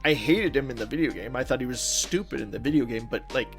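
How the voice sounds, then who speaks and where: A man's voice: mid-range voice, quick pace, vocal fry, faint music behind. A man co-hosting a podcast, chatting casually about films and games in an enthusiastic, emphatic way. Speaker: podcast co-host.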